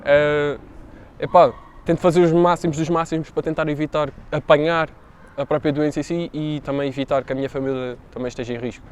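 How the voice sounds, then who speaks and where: A man's voice: a little high, fairly even intonation, brisk, slight background noise. A man in his twenties with a European Portuguese accent who answers interview questions informally, using slangy fillers. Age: 20s.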